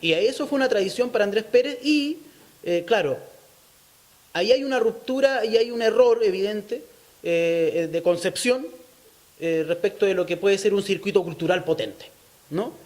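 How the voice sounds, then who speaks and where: A man's voice: high voice, lively intonation, normal speed, darkish tone. A man with a Chilean accent answering as an interviewee, explaining his opinions thoughtfully and often checking in with short tag questions. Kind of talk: thoughtful interview talk on local culture.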